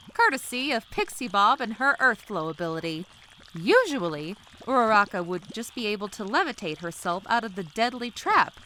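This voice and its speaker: A woman's voice: fairly high pitch, pushed and bright, slight background noise. A woman giving a scripted, dramatic story narration for a comic dub. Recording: faint bubbling mud ambience behind voice. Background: gooey quicksand sound effects.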